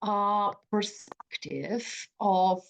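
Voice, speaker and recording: Ukrainian woman, halting and reflective. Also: mid-range voice, creaky. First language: Russian. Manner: reflective, halting with restarts and soft laughs. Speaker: museum speaker. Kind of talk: conference talk.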